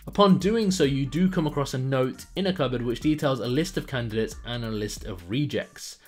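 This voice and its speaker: A man hosting a gaming channel, narrating a scripted video essay with enthusiastic, emphatic presenter phrasing. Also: medium pitch. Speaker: YouTube gaming channel host.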